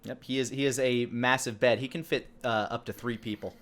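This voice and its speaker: A man runs a tabletop fantasy game as dungeon master, with an ordinary mid-pitched voice. He describes scenes conversationally and feels his way through them in halting phrases. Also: steady tone, a bit bright. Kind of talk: improvised tabletop game narration.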